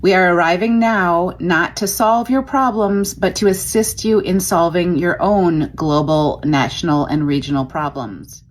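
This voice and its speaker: A woman earnestly reading out a channeled message in a calm, measured way. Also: fairly low pitch. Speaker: self-styled channeler.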